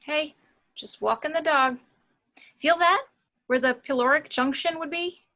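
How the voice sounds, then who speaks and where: A woman's voice: sits high, a little slow, bright, forceful tone, full character voice. A woman playing a confident, flirtatious morgue doctor in an informal script read, wry and matter-of-fact. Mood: flirty, confiding.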